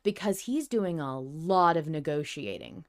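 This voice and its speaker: A woman's voice: deep voice, animated, a little slow. A woman co-hosting a podcast in casual, opinionated chat, blunt and emphatic, swearing freely. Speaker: podcast co-host discussing a fiction series.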